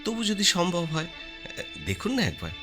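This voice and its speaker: An actor playing a calm family doctor who speaks gently and with hesitation in a radio play. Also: male voice, sits high, normal speed. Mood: persuading. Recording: radio play with clear music and distant voice.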